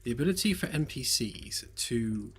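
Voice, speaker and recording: Hoarse-ish guy mid-pitch, rambly dev stream chat, lots of ums, faint hiss behind. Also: lively intonation, moderate pace. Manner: rambling, hesitant, many fillers, self-deprecating jokes. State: slightly hoarse, losing his voice. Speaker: game developer streaming his work. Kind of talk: relaxed live-stream chat while working. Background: faint lo-fi background music.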